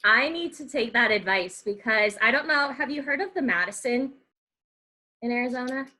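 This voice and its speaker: A woman with an American accent hosts a casual interview. Her voice is very bright and a little high. She talks in a chatty, informal way, turning questions into self-deprecating personal asides. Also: normal speed. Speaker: podcast host.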